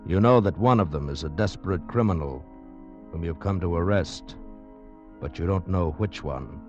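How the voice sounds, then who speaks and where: American male announcer, low and portentous, vintage radio adventure intro on a muffled recording. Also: normal speed, a little mellow. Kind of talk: dramatic 1940s radio announcing. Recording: dull old radio broadcast recording. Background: faint music.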